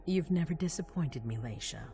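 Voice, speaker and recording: A regal, commanding vampire woman, measured and calculating. Her low voice moves through a wide range, with faint music behind. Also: lively intonation, dark tone. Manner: measured, authoritative. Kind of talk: dramatic video game cutscene acting.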